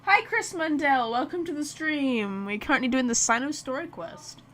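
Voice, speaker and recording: High-pitched, animated woman livestreaming a game. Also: normal speed, slight background noise. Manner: chatty, reacting aloud and talking to chat. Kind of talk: casual live game commentary.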